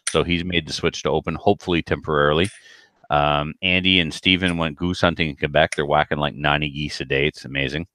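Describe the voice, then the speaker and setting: Very deep-voiced man chatting casually. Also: steady tone, fast, pushed and bright, no room sound. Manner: self-deprecating. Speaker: podcast co-host and competitive shooter. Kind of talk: casual chat, telling anecdotes.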